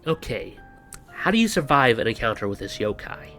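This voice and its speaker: A man with a mid-pitched voice presents a scripted explainer. He is wry and sarcastic, dropping exasperated asides like a host in a back-and-forth. Music plays lightly behind him. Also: big pitch swings, normal speed, a bit bright. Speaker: video host. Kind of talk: scripted explainer narration with banter. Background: background music.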